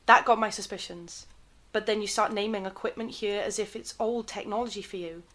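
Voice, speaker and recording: Woman playing a sharp, sensible 1930s television worker. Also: mid-range voice, fairly even intonation, brisk, close to an everyday voice. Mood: suspicious. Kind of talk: fan audio drama acting.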